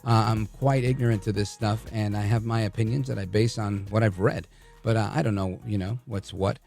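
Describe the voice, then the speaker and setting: A man's voice: on the low side, steady tone, brisk, vocal fry, darkish tone, soft background music. A man hosting a live radio talk show, interviewing in a conversational, self-deprecating manner.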